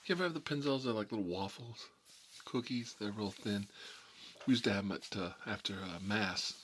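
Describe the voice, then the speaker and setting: A man's voice: on the low side, moderate pace, a bit bright, slight background noise, lightly acted. A man playing a hot-headed, sentimental mob boss in an improvised comic monologue, talking in a rambling, repetitive, colloquial way. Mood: nostalgic rambling.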